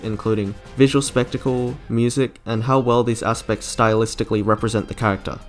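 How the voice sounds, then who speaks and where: A man delivers scripted video essay narration as the host. His voice sits at an ordinary male pitch, with music playing behind it throughout.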